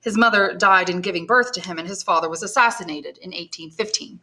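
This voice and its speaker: A woman's voice: on the low side, a little fast, sharp tone. A woman reading aloud and voicing a middle-aged stepmother with a polished, polite manner.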